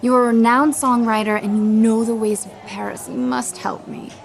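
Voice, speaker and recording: High-voiced, lovestruck girl cadet. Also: fairly even intonation, normal speed, creaky. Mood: pleading. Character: lovestruck, earnest young woman cadet, honest and naive. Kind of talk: radio theatre acting.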